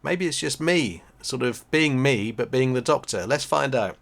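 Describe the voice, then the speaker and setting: A man's voice: mid-range voice, lively intonation, moderate pace, creaky. A middle-aged man from Suffolk with an English accent, giving casual reaction commentary as a YouTube host. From Suffolk, England.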